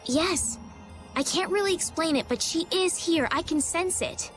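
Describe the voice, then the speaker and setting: Gentle young princess, high pitch, earnest, polite, game dialogue, soft music behind. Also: female voice, a little slow. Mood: wondering. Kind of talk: video game voice acting.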